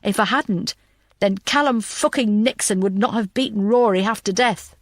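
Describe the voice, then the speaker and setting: A woman's voice: medium pitch, moderate pace, dry recording, studio quality, close to an everyday voice. A woman narrator playing a weary, tearful female police detective in an audiobook, self-blaming and defensive. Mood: frustrated, self-blaming.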